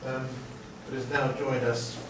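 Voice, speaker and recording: An older man hosting a seminar with an informal, rambling, halting and jokey introduction. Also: mid-range voice, little pitch movement. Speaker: seminar host introducing a speaker. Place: small seminar room. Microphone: far camera microphone. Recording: distant mic, echoey noisy room.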